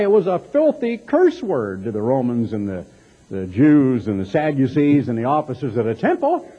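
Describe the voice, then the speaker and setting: A dull, lo-fi recording of a man preaching with a Texas accent. His voice is fairly high and husky, swinging widely as he declaims scripture and adds emphatic, pointed commentary. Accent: American, Texas. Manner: emphatic, dramatic delivery with rhetorical questions and mocking asides. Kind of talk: sermon with scripture reading and commentary.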